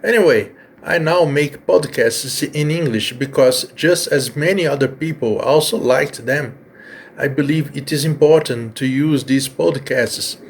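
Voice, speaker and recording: Brazilian guy hosting, earnest, bit halting english, faint hum behind. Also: mid-range voice. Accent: Brazilian Portuguese. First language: Portuguese. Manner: earnest, slightly halting with fillers. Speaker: podcast host. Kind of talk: earnest personal message.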